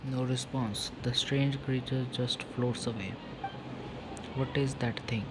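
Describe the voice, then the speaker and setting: Male gamer, casual playthrough commentary, even monotone delivery, medium pitch, clear background noise. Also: slow. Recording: noisy background, hum and ambience.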